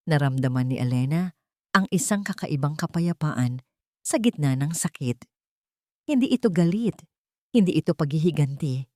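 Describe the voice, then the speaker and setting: A woman narrating a scripted dramatic love story in a measured, solemn storytelling manner. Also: low-pitched, darkish tone, no echo, studio quality.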